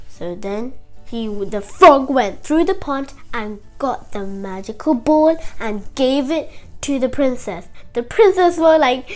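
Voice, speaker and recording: A young girl tells a nursery story in a high, animated voice. She goes slowly, in simple childlike phrases that halt now and then, with faint music behind her. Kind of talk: animated retelling of a nursery fairy tale.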